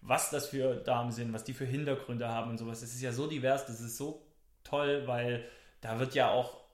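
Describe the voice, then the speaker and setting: A man's voice: mid-range voice, fairly even intonation, normal speed, echoey room. Chatty enthusiastic male podcast co-host. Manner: enthusiastic, rambling with restarts. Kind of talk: casual chat.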